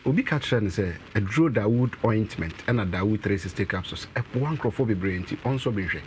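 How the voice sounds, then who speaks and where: A Ghanaian man in his thirties, an herbal medicine representative, explaining with animated gestures in a studio interview. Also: on the low side, fairly quick, slight background noise. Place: TV and radio studio.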